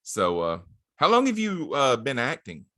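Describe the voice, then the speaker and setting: A man's voice: medium pitch, huge pitch swings, moderate pace, a bit bright, no room sound. A man hosting a podcast, interviewing a guest with many short acknowledgements. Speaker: podcast host.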